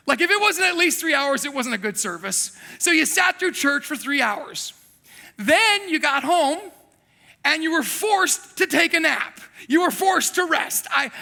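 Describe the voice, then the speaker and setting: A man preaching a sermon as a pastor, telling animated, self-deprecating stories from his childhood in Thailand. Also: very high-pitched, creaky. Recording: long hall reverb.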